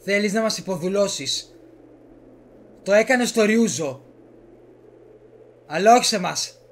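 A man's voice: high-pitched, animated. A man playing a defiant, honourable young samurai lord, resolute and terse, in an amateur game dub.

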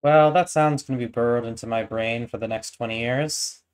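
A man's voice: mid-range voice, normal speed, clear, resonant tone. A young man giving casual, off-the-cuff reaction commentary from a small room indoors. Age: young adult. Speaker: reaction video host.

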